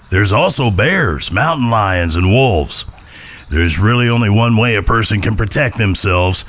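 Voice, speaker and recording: Man as a low-voiced Old West storyteller. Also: fairly bright tone, full character voice. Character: wry, grandiose Old West storyteller narrator. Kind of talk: scripted dramatic narration. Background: guitar music.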